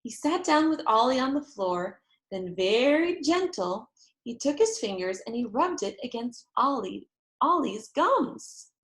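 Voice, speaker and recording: A woman narrating a children's chapter book aloud in an expressive read-aloud style. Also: fairly high pitch, unhurried, echoey room.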